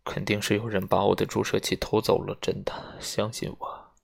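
A man's voice: lowish voice, moderate pace, creaky. A man voicing a nervous middle-aged doctor, clinical at times and hesitant and defensive when under suspicion, in an audiobook. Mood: desperate.

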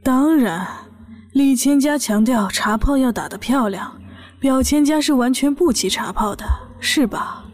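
High-voiced, know-it-all mother. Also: a little slow, a little mellow. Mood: needling. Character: sharp-tongued, nosy, know-it-all mother. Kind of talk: Mandarin audio drama acting.

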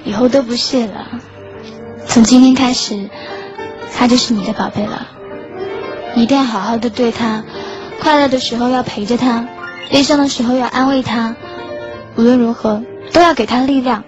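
A woman as a wistful high-voiced lovelorn schoolgirl. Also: steady tone, moderate pace, long pauses, natural voice. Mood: tender, bittersweet. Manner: wistful, earnest, softly self-effacing. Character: lovelorn schoolgirl narrating her quiet heartbreak. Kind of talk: Chinese radio drama acting with inner monologue. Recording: dull lo-fi audio under clear music.

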